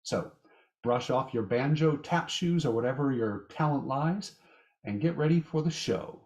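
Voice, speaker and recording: A man announcing a radio show in a measured, theatrical, scripted style. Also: medium pitch, animated, darkish tone.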